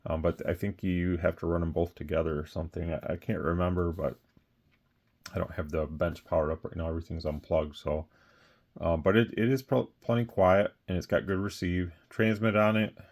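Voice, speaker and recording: Guy w a low flat voice just rambling thru a product rundown, plain talk, nothing scripted. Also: a little fast. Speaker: radio seller presenting a product. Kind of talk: casual off-the-cuff product talk.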